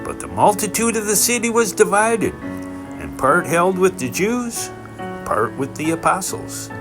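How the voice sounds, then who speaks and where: A man reading scripture aloud in a solemn narrated style, with deliberate, emphatic phrasing and pauses. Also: high voice, big pitch swings. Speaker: scripture reader. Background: background music.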